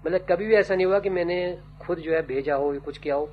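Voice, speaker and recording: Older male writer, fairly high voice, thoughtful, unhurried interviewee on lo-fi old tape. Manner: slow, musing, trailing off with fillers. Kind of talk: reflective interview answers. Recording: dull old tape with faint hum.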